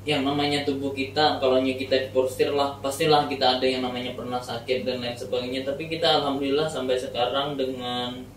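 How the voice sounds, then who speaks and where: A man, answering casually and wordily as an interview guest. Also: medium pitch. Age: early 30s. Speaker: podcast guest, youth organisation secretary and teacher. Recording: echoey room, distant mic, faint noise.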